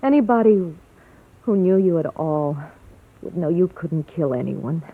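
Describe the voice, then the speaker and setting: An actress playing a tender, anxious, loyal sweetheart in a vintage radio drama, hesitant and sincere. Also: female voice, fairly low pitch, lively intonation, a little slow. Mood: tender, worried. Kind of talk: 1940s-50s radio drama acting. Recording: tinny old radio recording.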